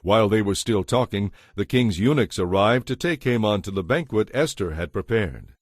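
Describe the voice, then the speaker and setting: A man serves as narrator of a dramatized audio Bible. His voice is on the low side and the sound is dry and close, with measured, scripted storytelling. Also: expressive, no echo.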